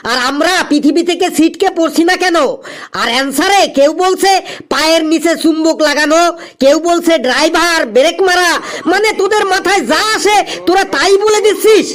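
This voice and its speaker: A man's voice: extremely high pitch, brisk, a bit bright. A man voicing an exasperated, scolding male schoolteacher in a comedy cartoon, speaking emphatically. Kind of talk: exaggerated comic cartoon dubbing.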